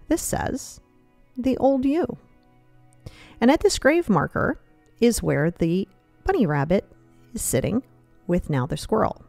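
A woman guides a listener through a meditation journey in a soothing, loosely conversational manner. Her low, mellow voice moves through a lively pitch, recorded close and dry with faint music behind. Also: vocal fry, dry recording.

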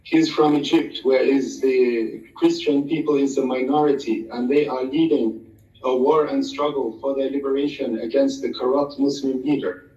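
A man delivers a slow, flowery speech like a sermon in a mellow tone. He sounds far from the mic, in an echoey room, and the audio is dull and lo-fi. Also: mid-range voice, steady tone. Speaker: debate guest. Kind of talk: prepared speech in a debate.

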